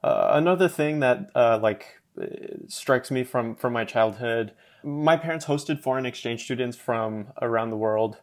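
Halting young man from Los Angeles. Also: mid-range voice. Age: 20s. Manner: halting, many fillers, laughs easily. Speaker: podcast guest. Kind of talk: casual conversation.

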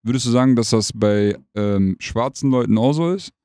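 Young man, podcast interviewer, mellow mid-pitched voice, slangy, casual chat. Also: normal speed, dry recording, studio quality. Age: young adult.

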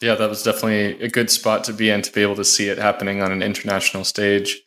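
A man interviewing a guest, asking measured questions and giving frequent short affirmations. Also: fairly low pitch, monotone, a bit bright.

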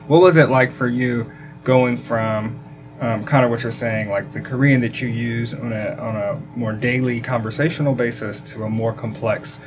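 A man's voice: mid-range voice, steady tone, normal speed, music in the background. A man hosting an interview, asking follow-up questions and encouraging his guest with engaged remarks. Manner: engaged, frequent backchannel yeahs. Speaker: podcast host.

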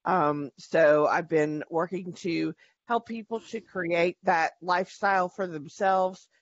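Gravelly woman, halting speech. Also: low voice, dry recording. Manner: halting, with fillers. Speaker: panelist, virtual assistant trainer. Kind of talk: casual self-introduction. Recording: dull, dry video call audio.

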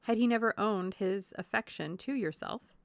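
A woman playing a polite, reserved young gentlewoman in a classic novel reading, with measured and courteous speech. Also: mid-range voice, no echo, close to an everyday voice. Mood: probing, restrained. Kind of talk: classic novel audiobook dialogue.

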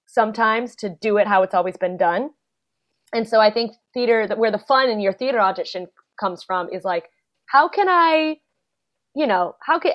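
A young adult woman, a guest actor and playwright, chatting casually in an interview, full of fillers and quick laughs. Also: mid-range voice. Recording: dull lo-fi podcast audio.

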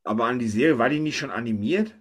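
A man's voice: medium pitch, fast, husky. Man hosting a casual podcast chat. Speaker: podcast host. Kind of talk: casual podcast chat about pop culture.

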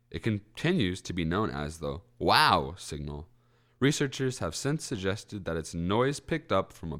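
A man's voice: deep voice, a bit bright. A young man with a stuffy, congested voice hosting a college radio show, chatting casually and correcting himself as he rambles. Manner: rambling, self-correcting, clears throat. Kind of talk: casual solo podcast chat. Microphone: studio microphone.

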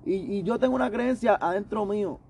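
A man with a fairly high but dark, gravelly voice. He talks casually in a debate and repeats his phrases insistently. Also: brisk.

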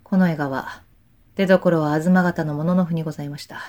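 A man's voice: fairly high pitch, steady tone, moderate pace, a little mellow, close to an everyday voice. Man acting an upright, earnest young samurai officer. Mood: formal, restrained. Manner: formal, respectful archaic speech. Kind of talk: Japanese period voice drama acting.

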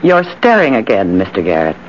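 Casting: icy schemer for vintage radio thriller, low imperious female voice with lively swoops, muffled faintly hissy sound. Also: full character voice. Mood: icy, defensive. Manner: imperious, brisk, calculating. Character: cold, domineering, scheming female murderess. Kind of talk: 1940s radio drama acting.

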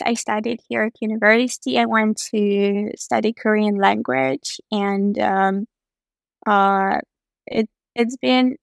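Young female podcast guest, English not her first language, higher-pitched, smooth tone, conversational. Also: no room sound, clean studio sound. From Central Asia. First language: not English, which is a third language. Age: young adult. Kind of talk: casual conversational interview.

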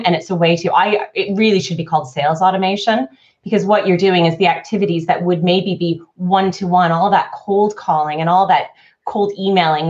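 A woman in her forties with a Canadian accent, slightly softened by years in the UK, speaking as a marketing consultant guest in a webinar interview, fluent and animated in long explanations. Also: on the low side. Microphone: video call. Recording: lo-fi webinar audio.

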